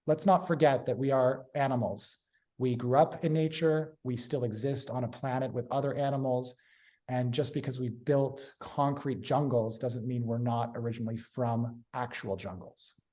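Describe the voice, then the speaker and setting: A man's voice: mid-range voice, fairly even intonation, moderate pace, dark tone. A man from Hong Kong, a guest in an interview, giving earnest, articulate explanations. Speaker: guest, urban agriculture consultant. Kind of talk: interview answers.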